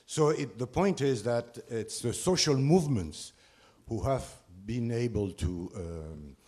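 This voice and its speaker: A man's voice: mid-range voice, expressive, takes it slowly, a little mellow, breathy edge. A man from Ecuador, speaking from the floor in a panel discussion. He halts often, with many fillers and restarts. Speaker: conference participant.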